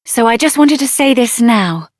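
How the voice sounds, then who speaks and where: A woman voicing a spirited, headstrong young heroine in a fantasy video game, speaking in an earnest, expressive, plain-spoken way. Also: a little high, normal speed, no room sound, clean studio sound. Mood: somber, resolute. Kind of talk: video game character voice acting.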